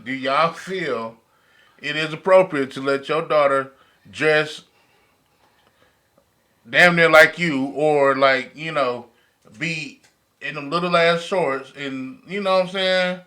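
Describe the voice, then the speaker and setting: A man's voice: fairly high pitch, takes it slowly, long pauses, pushed and bright. An American man co-hosting a podcast, talking casually in informal slang. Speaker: podcast co-host.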